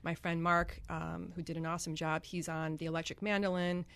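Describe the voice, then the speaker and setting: A woman's voice: lowish voice, level tone, a little fast, vocal fry, fairly bright tone. A woman from Connecticut, a singer-songwriter, chatting as a guest in a radio studio interview, with many ums and easy laughs. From Greenwich, Connecticut.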